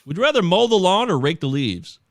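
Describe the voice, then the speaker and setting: A podcast host with a lively voice that leaps high and dips low, pitched a little above most men. He sounds excitable and laughs easily, and the recording is dry and close. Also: a little fast, no room sound. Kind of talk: casual chat.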